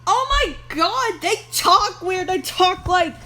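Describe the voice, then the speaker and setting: A woman's voice: very high voice, expressive, slow pace, sharp tone. A woman hosting a reaction video, chatting casually and reading on-screen text aloud.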